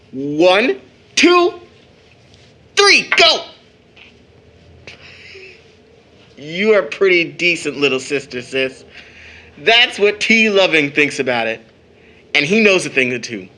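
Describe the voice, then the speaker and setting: A man's voice: high voice, bright, forceful tone, exaggerated voice. A man playing a cheerful, bantering older brother, a young man given to playful mock-grand speech, in an audio drama. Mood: teasing. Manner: playful, florid mock formality.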